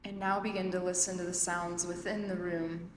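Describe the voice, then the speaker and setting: A woman leading a guided yoga nidra meditation as an instructor, speaking calmly and in measured phrases with long pauses. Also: lowish voice. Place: indoor urban yoga studio, recorded live. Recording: roomy echo, faint background noise, live studio. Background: faint ambient room and city noise.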